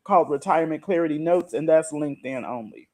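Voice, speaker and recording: A woman's voice: sits low, fast talker, darkish tone. A middle-aged woman speaks as a guest coach in a casual, explanatory chat. She talks in a conversational way, laying things out point by point. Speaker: guest, retirement and money coach who writes articles.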